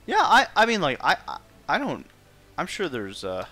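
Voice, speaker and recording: Bright, forceful man arguing his view. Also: a little high, huge pitch swings, normal speed, faint music behind. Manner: opinionated, conversational. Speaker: participant in a group discussion. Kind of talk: casual debate, chat.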